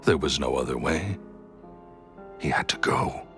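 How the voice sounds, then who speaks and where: An actor playing a bitter, vain, greedy magician thief in a video game, theatrical and sneering. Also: male voice, very deep voice, unhurried, music in the background. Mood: bitter, resentful.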